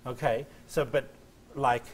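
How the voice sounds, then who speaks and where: A man's voice: mid-range voice, steady tone, slow pace, slight background noise. A middle-aged man hosting a daytime TV show from a studio set, upbeat and chatty, on a lapel mic. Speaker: TV show host. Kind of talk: morning TV chat.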